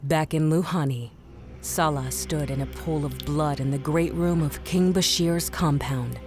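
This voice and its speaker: A woman's voice: low voice, measured pace, a little mellow, faint music behind. A woman narrator delivering scripted, dramatic narration for an adventure audio drama.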